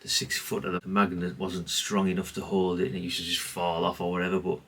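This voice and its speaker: A man's voice: deep voice, monotone, a little fast, a bit bright, distant mic, slight background noise. A man giving a casual, rambling, understated show-and-tell, speaking off the cuff. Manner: rambling, self-effacing, understated. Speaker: hobbyist presenter.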